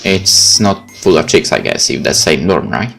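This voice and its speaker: A man doing a let's play, reading visual novel text aloud in a casual, expressive way. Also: a bass voice, moderate pace, creaky. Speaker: gaming YouTuber. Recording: game music behind the voice.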